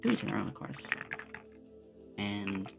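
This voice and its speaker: Creaky-voiced streamer chatting over loud music. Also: low voice, big pitch swings, slow. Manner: rambling storyteller, acts out short quotes. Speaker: streamer, VTuber host. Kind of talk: casual chat with stream viewers; relaxed ASMR stream.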